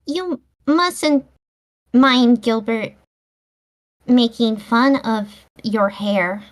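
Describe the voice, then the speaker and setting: A woman reading a storybook, voicing an earnest, dramatic schoolgirl who speaks with deliberate dignity. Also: a little high. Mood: soothing.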